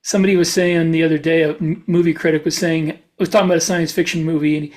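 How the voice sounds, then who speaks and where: Male podcast co-host, notably high-pitched voice, casual conversational delivery. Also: flat delivery, quick pace.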